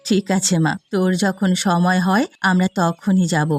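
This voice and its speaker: Cartoon role: wistful middle-aged mother voiced by a woman, tender and devoted, soft music behind. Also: lowish voice, fairly even intonation, moderate pace, close to an everyday voice. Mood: tender, encouraging. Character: devoted, long-suffering village mother, middle-aged, gentle. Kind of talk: cartoon fairy-tale voice acting.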